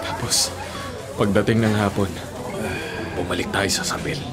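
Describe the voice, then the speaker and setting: A man's voice: on the low side, fairly even intonation, a little slow, over loud music, a loud crowd, natural voice. A man acting an earnest, lovestruck bachelor butcher in a Filipino radio drama, speaking colloquially. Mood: smitten, matey.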